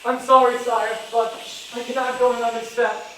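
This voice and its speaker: High, pleading young page boy played by a man. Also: little pitch movement, moderate pace, bright, forceful tone. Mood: afraid, exhausted. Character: frightened, exhausted young male page. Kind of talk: musical stage reading. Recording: very echoey, distant, hissy stage.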